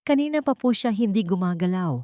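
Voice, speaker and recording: A woman's voice: medium pitch, expressive, a little fast, mellow, no echo, muffled recording, pitched above the performer's own voice, lightly acted. Casting: timid pleading maid with buried anger, audio story, voiced by a woman reader, close dry recording. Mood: nervous pleading. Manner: pleading, deferential, nervous. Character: anxious young housemaid pleading with suppressed anger.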